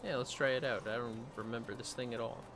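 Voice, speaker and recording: A man's voice: medium pitch, steady tone, fast talker, vocal fry, background noise. A man livestreaming a video game with casual chat, muttering to himself as he plays. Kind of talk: casual gameplay chat mixed with reading dialogue aloud.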